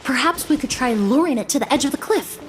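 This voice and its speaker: Young female investigator, high voice, composed, analytical, formal, measured phrasing, fantasy game. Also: expressive, soft background music. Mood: pensive. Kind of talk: scripted video game voice acting.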